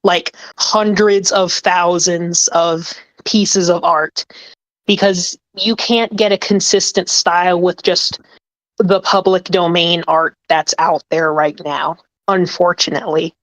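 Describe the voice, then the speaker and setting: Slow, low-voiced woman talking earnestly. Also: fairly even intonation, no echo, low-quality recording. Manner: earnest, rambling, frequent fillers. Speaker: podcast panelist, ASMR creator. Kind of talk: casual group discussion.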